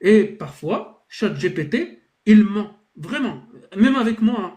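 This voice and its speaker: A man's voice: high-pitched, animated, a little slow, a little mellow. A man hosting a live online debate, talking in a hesitant, reassuring way with lots of restarts and fillers. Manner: reassuring and conciliatory.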